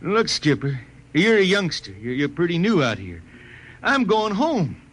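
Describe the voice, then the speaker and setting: A man's voice: on the high side, huge pitch swings, a little slow, a bit bright, heavily acted. An actor playing an aging, stubborn, homesick spaceman with folksy rural diction, in 1950s radio drama style. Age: older. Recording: noisy vintage 1950 radio recording.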